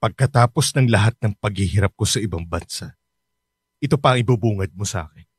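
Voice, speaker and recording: A man's voice: fairly low pitch, fairly quick, husky, no echo, clean studio sound. A man playing a stern, anguished working father in a radio drama, emotional and exasperated. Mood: anguished, reproachful.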